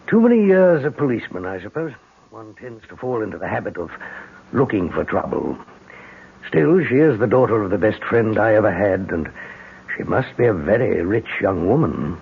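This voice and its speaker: An actor playing an older, avuncular British police inspector in a 1970s radio drama, musing in an old-fashioned way. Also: male voice, lowish voice, big pitch swings, normal speed, gravelly, husky, exaggerated voice. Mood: musing, suspicious. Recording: tinny, hissy old radio broadcast.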